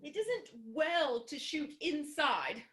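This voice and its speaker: A woman's voice: high-pitched, sing-song, takes it slowly, a bit bright, exaggerated voice. An actress playing a proud, furious woman torn between hatred and love, melodramatic and imperious in a staged reading of a farce. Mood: scornful, mocking. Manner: melodramatic, imperious, exclamatory, abruptly contradicting herself.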